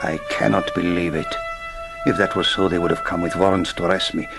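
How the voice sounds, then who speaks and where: Cold, pompous villain, music swelling. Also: male voice, low-pitched, little pitch movement, vocal fry. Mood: suspicious. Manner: formal, measured, menacing. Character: cold, pompous male villain, menacing mastermind. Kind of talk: radio play acting. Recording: loud film score behind voice.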